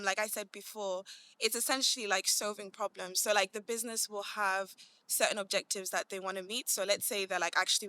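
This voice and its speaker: A woman's voice: medium pitch, bright, forceful tone, smooth, no room sound. A young British woman chatting informally as an interview guest, often dropping "like" into her sentences. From grew up in Manchester, England; born in Malawi. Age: young adult. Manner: chatty, informal, peppers speech with "like". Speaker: podcast guest, commercial analyst. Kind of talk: casual conversational interview answers.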